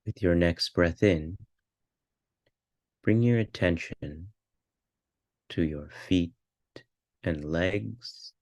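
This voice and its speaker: A man with a low voice guides a meditation at an extremely slow pace. He speaks calmly in short, measured phrases, leaving pauses between them. The sound is dry and close, without room echo. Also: muffled recording. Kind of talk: guided meditation, spoken instructions.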